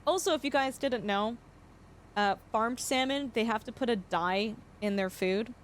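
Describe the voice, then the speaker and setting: A young woman streaming a farming game, chatting casually and explaining facts with lots of fillers, her voice slightly hoarse. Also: on the high side, measured pace, slight background noise. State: voice going hoarse. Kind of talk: casual live-stream chat.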